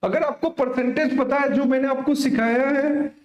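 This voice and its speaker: A man's voice: very high-pitched, fairly even intonation, moderate pace, lo-fi sound. A man teaching an exam coaching class, instructing students familiarly and often answering his own questions. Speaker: maths teacher. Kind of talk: exam coaching lesson, working through a problem. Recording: echoey room.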